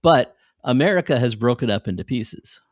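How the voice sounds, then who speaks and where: A man's voice: mid-range voice, animated, normal speed, no room sound, lo-fi sound. A man, middle-aged, talking casually on a podcast and explaining things in an enthusiastic, rambling way. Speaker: podcast host and fiction writer.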